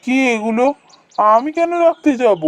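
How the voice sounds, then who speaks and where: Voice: medium pitch, animated, moderate pace, no echo, close to an everyday voice. An actor plays a village husband and father in a fairy-tale cartoon. He is skeptical and grumbling. His delivery is dismissive and questioning.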